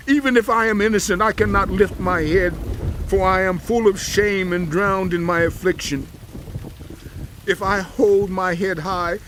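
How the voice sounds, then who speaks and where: A man's voice: sits high, a bit bright, a little hiss or hum, poor audio. An actor playing a bitter, anguished man who pleads his innocence to God in a theatrical, lamenting scripture drama. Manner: impassioned, lamenting, rhetorical.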